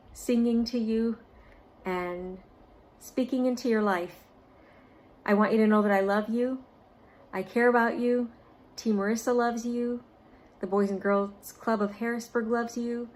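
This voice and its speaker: A woman reading aloud and talking directly to children as a storytime volunteer, in deliberate, carefully phrased speech with short pauses. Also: on the high side, darkish tone, low-quality recording.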